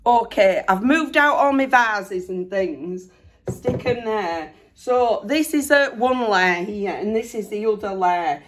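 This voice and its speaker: Hoarse chatty woman. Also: mid-range voice, slow. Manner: chatty, excited, self-deprecating. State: hoarse, recovering from lost voice. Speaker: vlogger. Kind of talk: casual chat. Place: bedroom at home, indoors.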